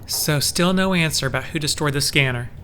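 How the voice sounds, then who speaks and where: Blunt hostile protective man in an audio drama, sharp voice, a bit high. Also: fairly quick, slight background noise. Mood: probing.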